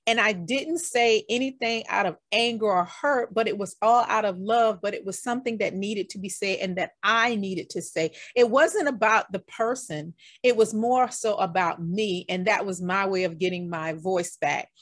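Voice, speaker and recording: A woman's voice: mid-range voice, moderate pace, few pauses, no echo, clean studio sound. A woman hosting a podcast interview, talking enthusiastically and casually and addressing her listeners as "y'all". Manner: warm, enthusiastic, talks straight to listeners with "y'all". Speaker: podcast host.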